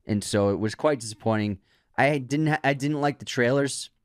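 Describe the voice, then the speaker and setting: A man co-hosting a podcast, chatting casually and giving animated opinions, often restarting words. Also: mid-range voice, moderate pace, dry recording, studio quality. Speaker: podcast co-host. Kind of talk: casual chat.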